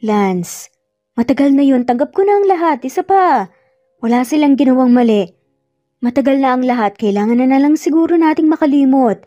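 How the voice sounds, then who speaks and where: A woman's voice: sits high, animated, brisk, mellow, highs cut off, faint music behind. A woman with a Filipino accent voicing a guarded, hurt young woman who answers curtly and evasively in a narrated romance. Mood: hurt, resigned.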